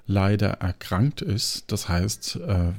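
A man's voice: low-pitched, lively intonation, slow. A man hosting a podcast and chatting casually about production work. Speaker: podcast host and game master.

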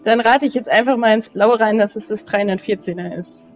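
A woman speaks casually in character as a role-playing game player. Her pitch is average. The recording is a bit dull, with soft music underneath. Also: brisk.

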